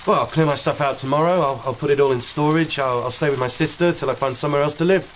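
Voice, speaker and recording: A man's voice: fairly high pitch, quick pace, close to an everyday voice, low-quality recording. An actor playing a hurt young man being left by his fiancée, clipped and sarcastic in a radio drama. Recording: faint rain noise behind. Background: rain.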